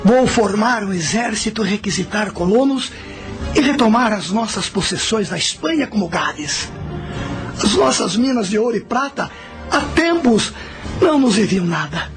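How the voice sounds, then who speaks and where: A resolute Carthaginian general, acted by a man in a radio play. His voice sits high and he declaims his ambitions proudly over strong background music. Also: lively intonation, normal speed. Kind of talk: radio drama acting. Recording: loud music behind the voice.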